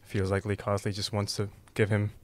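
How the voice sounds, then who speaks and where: A man giving football opinions as a show guest, relaxed and thinking aloud with frequent ums. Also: on the low side, brisk, dry recording. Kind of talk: casual football chat.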